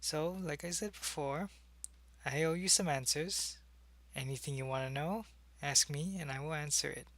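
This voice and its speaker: Man playing an earnest alien agent, bright gravelly voice. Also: a little high, moderate pace, dry recording. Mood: earnest, cautious. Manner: hesitant, explanatory, intimate one-sided conversation. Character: earnest, secretive male alien agent confessing to a human. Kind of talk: ASMR roleplay, acted monologue to the listener.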